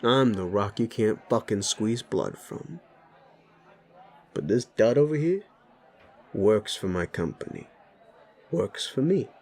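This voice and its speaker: A man voicing a brash werewolf bully boss in an audio roleplay, gravelly, swaggering and blunt, spoiling for a fight. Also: lowish voice, lively intonation, takes it slowly, pauses often, a little mellow, heavily acted. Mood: angry, protective.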